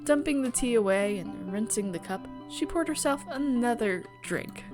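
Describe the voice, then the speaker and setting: A woman's voice: on the high side, lively intonation, moderate pace. A woman reading a visual novel aloud as a streamer, mixing story narration with chatty asides. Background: music.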